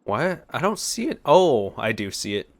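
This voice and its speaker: A young man chats his way through a video game in an easygoing, wry manner. His pitch is average for a man, and faint game noise runs behind him. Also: very animated, moderate pace. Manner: easygoing, playful sarcasm. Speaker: let's play streamer. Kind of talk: casual game commentary.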